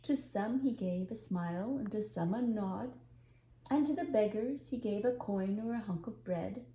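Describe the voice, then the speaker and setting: Mellow older woman reading a story. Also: mid-range voice, moderate pace. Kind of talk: expressive storybook narration. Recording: echoey room, faint background noise.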